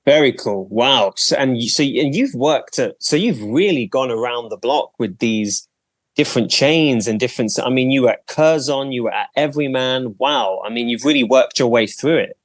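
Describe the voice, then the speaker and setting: A man's voice: medium pitch, lively intonation, normal speed, dry recording. A man hosting a podcast, introducing a guest and asking questions in a friendly, chatty way, reacting with enthusiasm. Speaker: podcast host. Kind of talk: casual podcast interview.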